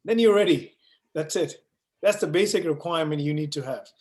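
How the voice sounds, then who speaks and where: A man's voice: fairly high pitch, a little fast, a little mellow. A man running an online course session, explaining ideas with everyday analogies and checking in with "right?" and "you know". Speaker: online course instructor answering questions. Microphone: video call. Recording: echoey room.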